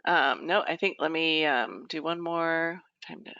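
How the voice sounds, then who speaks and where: Bright-voiced woman on a lo-fi call. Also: deep voice, a little slow, creaky, no echo. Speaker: meeting participant relaying questions. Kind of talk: informal conference call talk. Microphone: conference call line. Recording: dull, lo-fi conference call audio.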